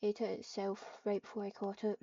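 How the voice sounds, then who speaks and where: A woman's voice: medium pitch, completely flat delivery, fairly quick, warm tone. A woman roleplaying in an online game, speaking both character lines and third-person narration into a distant, slightly muffled microphone.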